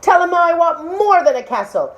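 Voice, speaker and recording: A woman's voice: very high-pitched, very animated, moderate pace, muffled recording, pitched above the performer's own voice. A woman voicing a greedy, never satisfied fisherman's wife in a storybook, giving insistent, imperious orders.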